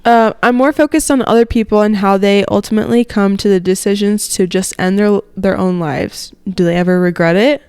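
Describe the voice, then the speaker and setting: A woman's voice: mid-range voice, fairly even intonation, a little fast, few pauses, darkish tone, smooth, dry recording. A young woman co-hosting a podcast in casual conversation, giggly and self-deprecating, speaking into a close handheld microphone. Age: young adult. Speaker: podcast co-host. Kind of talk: casual chat. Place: living room, indoors. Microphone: handheld microphone held close.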